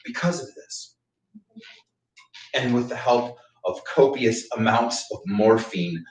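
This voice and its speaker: A man's voice: medium pitch, slow pace, lightly acted. Man acting distant, echoey, wry eccentric raconteur. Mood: droll reminiscing. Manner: theatrical, droll, long-winded storytelling. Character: wry, eccentric, hard-drinking male raconteur, thesis mentor. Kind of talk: staged reading of a play. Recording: roomy echo, distant mic.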